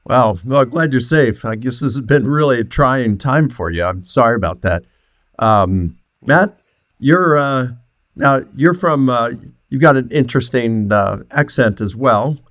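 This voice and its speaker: A man works as host of a relaxed interview, speaking as a professor to his guests. His voice sits at a middle pitch and is recorded close and dry. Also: measured pace, no echo. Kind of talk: casual interview introduction.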